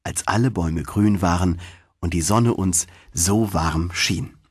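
A man's voice: sits low, measured pace, breathy edge, dry recording, clean studio sound. A man reading a fairy tale voices a tiny, kind-hearted girl with an earnest, tender manner. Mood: tender farewell. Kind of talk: storybook narration.

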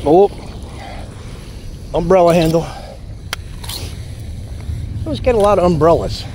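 A man gives a chatty running commentary, exclaiming over each find and talking to his viewers. His voice sits a little high. He is recorded outdoors on a body-worn action camera, with clear wind and water noise behind him. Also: expressive, measured pace, warm tone. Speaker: magnet fishing vlogger. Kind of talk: casual running commentary while magnet fishing. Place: outdoors at a city pond edge. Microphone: chest-mounted action camera.

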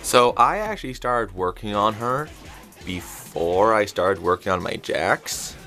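Low-pitched man, halting casual showcase. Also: big pitch swings, pushed and bright. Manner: informal, halting, rambling delivery. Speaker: craft video creator showing a homemade puppet. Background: background music.

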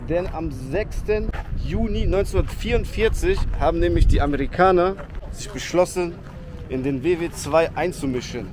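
A young man with a slightly raised voice vlogs outdoors, holding the camera at arm's length. He chats to his viewers with excitable slang. Wind and passing traffic come through clearly behind him. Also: a little high, moderate pace. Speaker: travel vlogger. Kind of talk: casual travel vlog. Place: outdoors by a beach and memorial. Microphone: handheld camera at arm's length.

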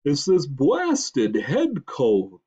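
A man voicing a huge, slow-witted moose with a head cold, sniffly, grunting and congested, in an animal story. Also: wildly swooping pitch, a little mellow, husky, dull audio, heavily acted. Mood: miserable, sneezy. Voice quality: deep, grunting, congested, snorting.

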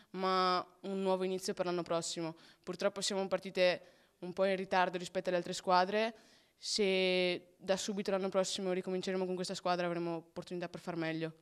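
Very clear-voiced young woman player. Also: fairly low pitch. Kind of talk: post-match interview. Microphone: handheld mic held by interviewer.

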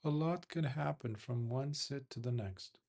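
A man's voice: medium pitch, vocal fry, darkish tone. Man guiding meditation in short, spaced phrases. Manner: unhurried, measured, with long pauses between short phrases. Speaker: Buddhist monk, meditation teacher. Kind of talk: guided meditation instruction.

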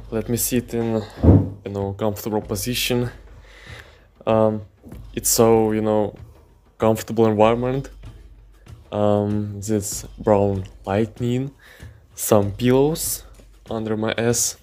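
Slow-talking man, halting English. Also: fairly low pitch, steady tone, warm tone. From Ukraine. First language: Russian. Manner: halting non-native English with many fillers. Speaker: fitness channel host. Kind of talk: casual podcast monologue. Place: indoors, seated on pillows.

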